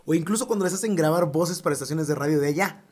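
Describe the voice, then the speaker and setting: Brief: Mexican male co-host, animated and explanatory, slightly raised pitch. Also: very fast, breathy edge. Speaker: podcast co-host. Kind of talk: casual chat.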